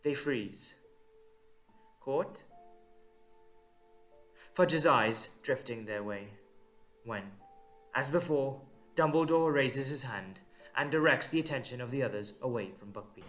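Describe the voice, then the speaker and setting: A man's voice: medium pitch, expressive, takes it slowly, long pauses, a bit bright, faint music behind. A man giving dramatic scripted narration for an audio drama.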